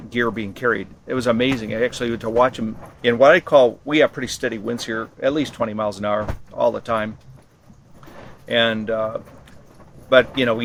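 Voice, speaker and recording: A man giving a casual interview account, halting and rambling as he corrects himself along the way. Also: mid-range voice, fairly bright tone. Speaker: interviewee, ham radio expedition team member. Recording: clearly noisy location sound.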